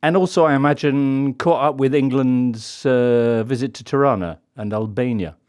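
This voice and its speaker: A man hosting a casual football podcast, quick with jokes and chatty. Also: mid-range voice, a little mellow. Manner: jokey, quick-witted, chatty. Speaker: podcast host.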